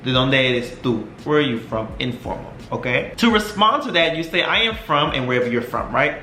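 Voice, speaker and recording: A man's voice: mid-range voice, very animated, sharp tone, a little hiss or hum. Young man teaching phrases in an echoey room. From Texas. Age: young adult. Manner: repeats phrases slowly, drawn out, with many "right?" checks. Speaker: Spanish teacher. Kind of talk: casual video lesson. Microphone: camera mic.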